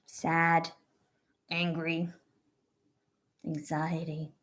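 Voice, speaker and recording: A woman reading a picture book aloud to young children as a teacher, pausing to ask questions and offer encouragement and reassurance. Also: low voice, monotone, fairly bright tone, a little hiss or hum. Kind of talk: read-aloud story with asides to young children.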